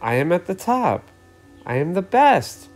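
A man's voice: sits high, big pitch swings, measured pace, soft background music, voice pushed up. Man playing a boastful, vain red traffic light. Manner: boasting, self-important declarations.

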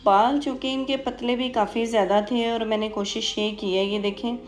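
A woman beautician explaining a technique in an instructional voice-over, hesitant, with frequent pauses. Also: a little high, fairly even intonation, soft background music. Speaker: beautician giving a hair tutorial.

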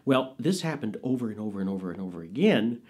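A man in his fifties telling family stories in a casual, animated way, recorded in a small studio. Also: on the low side, fast, breathy edge. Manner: animated, expressive storyteller. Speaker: interviewee. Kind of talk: casual storytelling.

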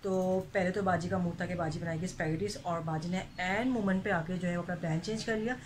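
A woman's voice: lowish voice, steady tone, fast. A young woman chatting casually to her viewers in a vlog, often slipping English words into her talk. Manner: chatty, mixes English into Urdu, keeps addressing viewers. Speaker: vlogger. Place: shopping mall, then home kitchen. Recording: noisy handheld vlog recording.

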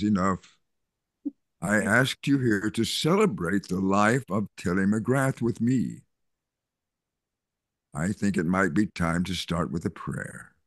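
A man's voice: lowish voice, no echo, studio quality, heavily acted. An older man reading the part of a kindly small-town pastor at a funeral over a video call. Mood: solemn. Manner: gentle, patient, mildly scolding. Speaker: Reverend Matthew. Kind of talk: zoom radio-play reading.

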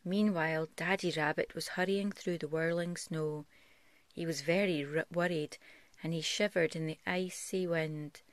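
A woman reading a picture book aloud as a parent would, in a soft, gentle storytelling style. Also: low-pitched, steady tone, measured pace, no echo. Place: bedroom, on a bed at home.

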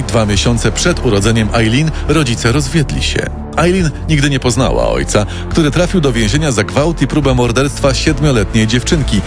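Dramatic male true-crime narrator, lively intonation. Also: medium pitch. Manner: dramatic storytelling in present tense.

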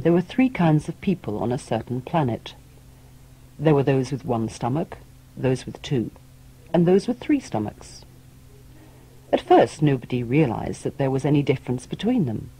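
A man's voice: medium pitch, sing-song, moderate pace, long pauses, dark tone, slightly rough, rough recording. A man telling a tale as a storyteller, in scripted audiobook narration.

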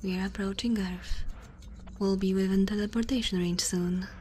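A cautious female alien scientist, played by a woman whispers softly in an ASMR roleplay. She is formal, precise and curious about humans. Her voice sits at a middling pitch, with noisy ambience behind it. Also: steady tone, moderate pace, lightly acted. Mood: measured, cautious.

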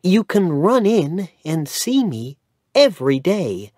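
A man voicing a gentle, sad young mother in a storybook reading, speaking softly and tenderly. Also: sits high, very animated, slow pace, no echo, studio quality, voice pushed up, full character voice. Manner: soft and tender.

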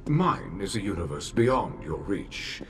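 Video game demon lord: theatrical, solemn and grand, slow delivery with big swoops. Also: male voice, low-pitched, sing-song, faint music behind, exaggerated voice. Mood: solemn, grandiose. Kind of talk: video game cutscene acting.

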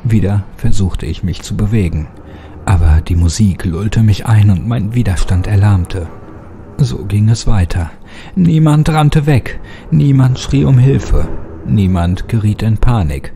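A man's voice: fairly low pitch, expressive, unhurried, mellow, a little hiss or hum. A man giving a scripted, dramatic first-person narration of a horror story. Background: music.

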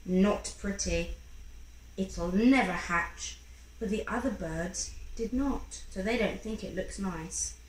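A woman in her thirties reading a picture book to a young child in an expressive, encouraging way, pausing to ask questions. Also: medium pitch. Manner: expressive, encouraging, acting out sound effects. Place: small room at home, indoors. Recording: roomy home recording with faint background noise.

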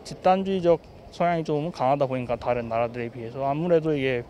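Young man on the street, thoughtful and steady, into a handheld mic. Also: medium pitch, fast talker, dull audio, a little hiss or hum. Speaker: interviewee. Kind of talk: street interview. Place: city street outdoors. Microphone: handheld mic held by interviewer.

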